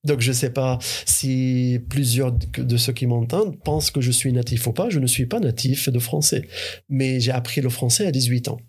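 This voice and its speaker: A man's voice: medium pitch, moderate pace, darkish tone, breathy edge. A man, a researcher, answering interview questions in a hesitant way, with many fillers and restarts. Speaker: researcher and university teacher.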